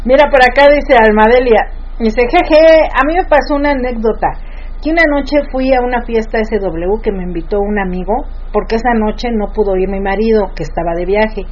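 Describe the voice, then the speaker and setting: A woman's voice: a little high, brisk, muffled recording, a little hiss or hum. A woman hosting a radio show, chatty as she reads listener messages. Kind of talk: casual radio chat.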